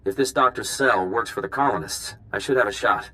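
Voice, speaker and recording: Bright, forceful field agent. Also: male voice, lowish voice, brisk, clear, resonant tone, poor audio. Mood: businesslike, focused. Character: dutiful male field agent, practical and frank. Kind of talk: video game voice acting.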